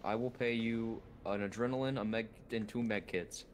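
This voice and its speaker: A man's voice: on the low side, fairly even intonation, moderate pace. A man, another player, trading blunt crude banter over in-game voice chat. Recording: faint distant in-game voice chat.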